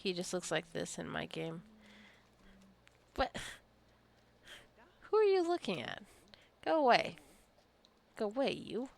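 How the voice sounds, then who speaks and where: A woman's voice: medium pitch, sing-song, slow, vocal fry, long pauses. A woman giving casual, rambling commentary while playing a game, jokingly scolding her characters and sounding a little tired. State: tired, wants coffee. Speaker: gameplay streamer.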